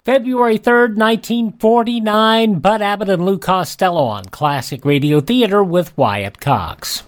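A man's voice: sits high, unhurried. A man hosting a vintage radio program, reading scripted introductions. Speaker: classic radio show host.

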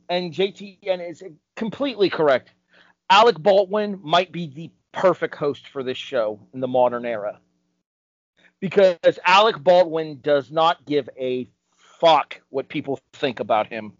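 Male podcast co-host, 30s, slightly high voice, emphatic and opinionated, dry muffled recording. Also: dry recording. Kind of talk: casual panel debate about game shows.

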